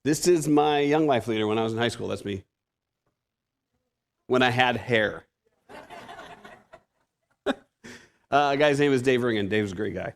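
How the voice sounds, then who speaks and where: A man's voice: medium pitch, unhurried, gravelly, pauses often. A man in his fifties with a Pacific Northwest American accent, preaching a conversational sermon as a guest preacher, self-deprecating and often restarting his sentences. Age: 50s. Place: church sanctuary.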